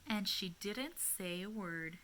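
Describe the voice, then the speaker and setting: Bright, unhurried woman reading to children. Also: mid-range voice, a little hiss or hum, poor audio. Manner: expressive, child-directed, with pauses and questions to listeners. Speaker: storyteller for young children at a school. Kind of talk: children's storybook read-aloud.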